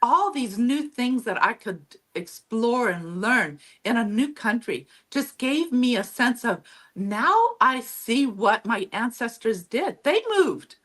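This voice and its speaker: A woman whose first language is Low German, giving reflective, conversational answers as an interview guest. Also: animated, takes it slowly, fairly bright tone. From Mexico, then Texas from childhood.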